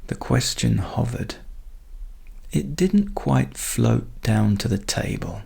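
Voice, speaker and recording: A man narrating a bedtime story as a calm, gentle storyteller in a soft, soothing manner. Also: medium pitch, takes it slowly, creaky, slightly rough.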